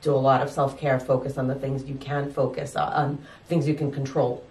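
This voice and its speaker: A woman doctor from Ukraine, explaining calmly and giving advice in an interview. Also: a bass voice, a little fast, echoey room, distant mic. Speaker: doctor and medical guest.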